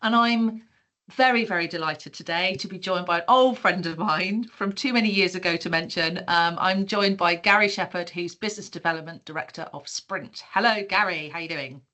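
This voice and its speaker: A woman's voice: lowish voice, normal speed, bright, forceful tone. A middle-aged English woman hosting an interview podcast, asking friendly, slightly rambling questions. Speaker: podcast host.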